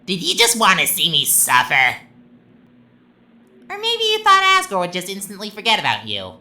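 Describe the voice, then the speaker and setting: A woman's voice: medium pitch, wildly swooping pitch, moderate pace, pushed and bright, exaggerated voice. A woman voicing a sadistic, childishly gleeful talking flower villain in a video game, taunting theatrically and swinging from sweet to menacing. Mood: angry taunting.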